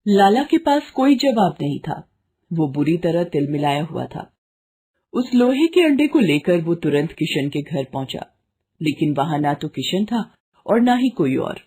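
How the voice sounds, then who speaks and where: Low, husky woman narrating children's stories. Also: sing-song, measured pace, warm tone. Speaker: storyteller narrator. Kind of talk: scripted children's story narration.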